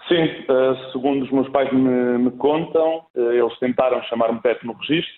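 A man's voice: mid-range voice, normal speed, reverberant, a loud crowd. A young Spanish-born man from Galicia, a podcast guest chatting casually with small hesitations and restarts. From Spain, Galicia. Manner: relaxed, slightly hesitant with restarts. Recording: tinny phone-like line.

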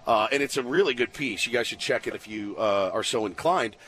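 Male co-host, medium pitch, blunt and opinionated, casual sports radio chat. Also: fairly quick, a bit bright. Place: on location at a spring training complex.

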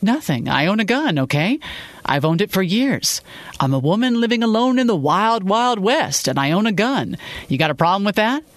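A woman's voice: mid-range voice, very animated, normal speed, husky, no room sound, pitched above the performer's own voice. A woman voicing a bitter, wounded, sarcastic woman in a dramatic audiobook, with emphatic, cutting delivery. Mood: defensive, challenging. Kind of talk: dramatic audiobook character dialogue.

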